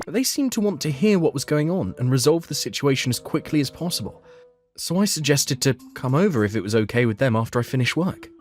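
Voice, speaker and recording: A man's voice: mid-range voice, darkish tone, lightly acted. A man playing an earnest, anxious young office worker who narrates his own story in a manga dub. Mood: uneasy, bewildered.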